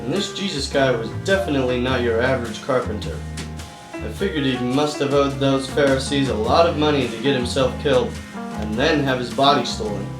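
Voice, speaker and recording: A man's voice: medium pitch, brisk, close to an everyday voice. A man playing a cocky, bumbling hardboiled private detective in a noir radio play, wisecracking and slangy. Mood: smug narration. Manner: wisecracking, slangy, self-assured. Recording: roomy, distant voice over music.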